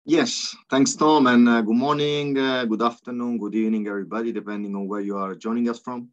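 A man as guest expert presenting software on a webinar, fluent but peppered with ums and uhs. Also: medium pitch, fairly even intonation, brisk. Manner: fluent but full of ums and uhs, non-native phrasing. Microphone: video call. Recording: slightly dull video call audio.

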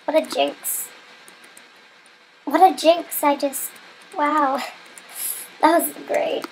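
Female gamer, stuffy-nosed and sniffly, high voice with vocal fry, chatty and self-mocking. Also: fairly even intonation, drawn-out words, slight background noise, poor audio. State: stuffy nose, getting sick. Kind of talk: casual gameplay commentary.

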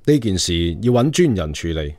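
An actor playing a commanding Qing emperor in a radio drama, speaking in an imperious, measured manner. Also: male voice, low voice, sing-song, a little mellow, dry recording, studio quality. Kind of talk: Cantonese radio drama acting.

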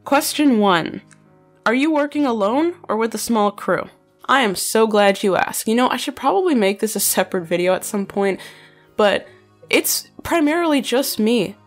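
A woman's voice: on the high side, expressive, moderate pace, soft background music. Chatty young woman, nasal edge. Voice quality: slightly nasal. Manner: chatty, many fillers like "like" and "bro". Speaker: animator answering fan questions. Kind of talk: casual chat.

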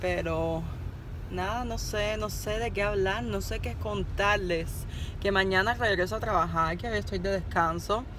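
A woman's voice: mid-range voice, unhurried. A young woman from Cuba with a Cuban Spanish accent, chatting casually to her phone camera while driving, rambling and upbeat. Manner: rambling, upbeat and encouraging, laughs at herself. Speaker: vlogger. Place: inside a car, parked then driving at dusk. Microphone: phone camera held at arm's length. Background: faint car and road noise.

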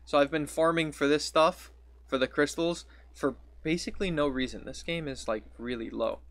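A young man with a deep, raspy morning voice, chatting casually as a game streamer into a close studio mic in a small home room, rambling and correcting himself as he goes. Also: normal speed, fairly bright tone. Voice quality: deep and raspy after waking. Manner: rambling, self-correcting, friendly. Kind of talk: casual gaming vlog talk. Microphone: studio mic on boom with pop filter, headphones.

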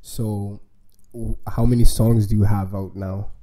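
A man's voice: on the low side, flat delivery, measured pace, dark tone, a little hiss or hum. A young man hosting a casual interview, easygoing and slangy, with short affirmations. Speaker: host. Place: living room with mirrored wall decor. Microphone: studio mic on boom arm.

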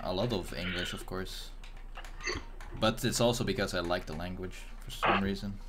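A man's voice: low voice, moderate pace, very noisy. A young Dutch man with a Dutch accent chatting casually and joking while he streams a racing game from a small room at home. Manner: joking, laughs often, code-switches playfully. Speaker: streamer and caster. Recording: game music in background.

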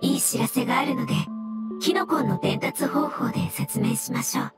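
A woman playing a gentle, earnest floating mushroom creature in a Japanese video game, speaking formally and with courteous composure. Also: high voice, brisk, lightly acted. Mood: calm, reassuring. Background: background music.

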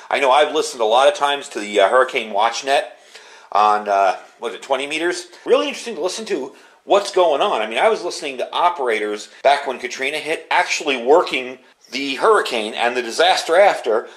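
A middle-aged man giving a casual, informal hands-on walkthrough, talking as a video host at an indoor workbench. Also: medium pitch. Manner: chatty, informal, explanatory. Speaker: video host demonstrating gear.

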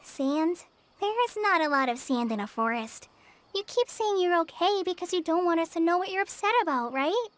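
A woman voicing a kind, earnest young trainee forest ranger in a game, speaking in a gentle, encouraging way. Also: extremely high pitch, expressive, normal speed, slight background noise. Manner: gentle, encouraging, soft-spoken. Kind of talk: game cutscene dialogue. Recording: game soundtrack music behind.

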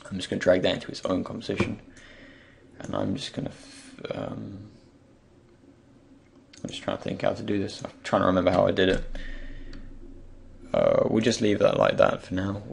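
Man, very creaky morning voice, low pitch, hesitant, thinking aloud, unscripted screen tutorial. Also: level tone, normal speed, long pauses. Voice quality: croaky. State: croaky from just waking up. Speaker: tutorial presenter. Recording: faint background noise, keyboard and mouse clicks.

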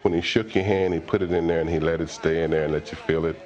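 A man's voice: low-pitched, fairly even intonation, quick pace, darkish tone, dull audio. A middle-aged man with an American accent reminiscing in a sit-down interview.

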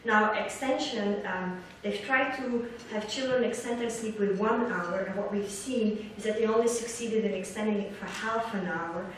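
Very echoey hall recording, woman lecturer, middle-aged, halting explanatory delivery, mid-range pitch. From Belgium. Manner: halting, searching for words, repeats words. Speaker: academic researcher giving a keynote lecture. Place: large lecture hall, indoors, at a lectern. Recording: echoey hall from far off, background noise.

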